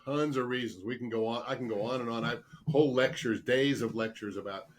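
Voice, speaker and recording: Man with a slightly rough, cold-worn voice. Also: medium pitch, fast, a little hiss or hum. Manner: earnest, emphatic, repeats words for stress. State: getting over a cold, throat clearing and coughing. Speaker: guest expert, dentist specializing in dental sleep medicine. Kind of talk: explanatory interview conversation.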